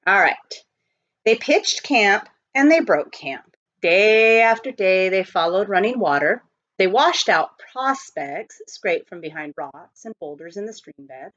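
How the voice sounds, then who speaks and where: A woman reading a storybook aloud in an expressive narrating style. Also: mid-range voice, measured pace, no echo. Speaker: audiobook reader, teacher. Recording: dull lo-fi close recording.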